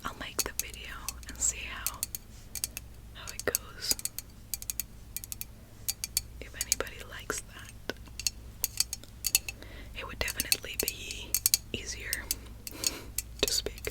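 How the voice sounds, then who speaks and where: Slow soft whisper, woman w/ rambling asmr-style, joking about herself. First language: Spanish. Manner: soft rambling whisper, self-deprecating asides. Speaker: ASMR creator.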